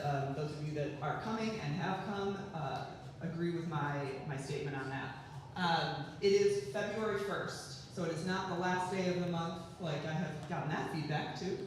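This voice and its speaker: Higher-voiced man talking in an echoey hall. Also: a little hiss or hum. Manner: chatty, filler-heavy with many ums. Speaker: HR presenter at a company meeting. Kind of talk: casual presentation to staff. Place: large meeting room with staff audience. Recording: echoey hall, far from the mic.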